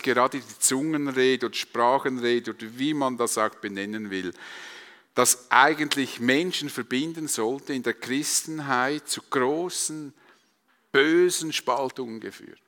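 Male Swiss German preacher: forceful bright tone, rhetorical and emphatic, recorded in a big reverberant hall. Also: medium pitch. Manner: emphatic, rhetorical repetition and questions. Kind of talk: sermon to a congregation.

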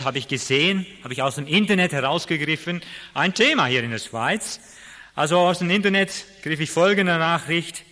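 A man's voice: mid-range voice, pushed and bright. A middle-aged man from the Netherlands, a native Dutch speaker with a Dutch accent, giving an informal personal talk as a guest speaker. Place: large reverberant hall. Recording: echoey hall with long reverb.

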